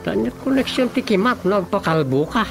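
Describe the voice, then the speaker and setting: A man's voice: high voice, lively intonation, moderate pace, creaky, fairly bright tone, exaggerated voice. An actor playing a chatty, teasing village man with an Ilocano accent in a radio comedy-drama. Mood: questioning. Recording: prominent music under the dialogue.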